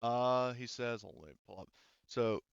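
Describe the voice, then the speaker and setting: A man narrating a tabletop roleplaying game as game master, talking haltingly as he thinks aloud. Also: mid-range voice, vocal fry, smooth, dry recording. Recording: dull, lo-fi, dry close sound.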